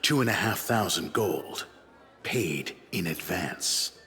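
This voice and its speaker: An actor playing a proud, cool-headed assassin for hire in a fantasy video game, speaking formally and with measured condescension in a deep, dignified voice. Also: male voice, lively intonation, pushed and bright, in a large hall, heavily acted. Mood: businesslike.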